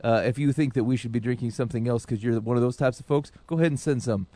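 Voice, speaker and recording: A man's voice: mid-range voice, fast talker, warm tone, no echo. A man co-hosting a podcast with casual joking banter and a wry, mock-formal way of putting things. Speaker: podcast co-host.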